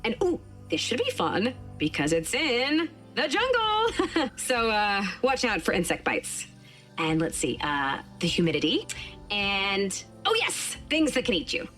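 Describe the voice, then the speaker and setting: Bubbly, wildly animated scatterbrained helper. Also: female voice, mid-range voice, a little slow, faint music behind. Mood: bubbly, nervous. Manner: gushing, awkwardly rambling. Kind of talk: animated game cutscene acting.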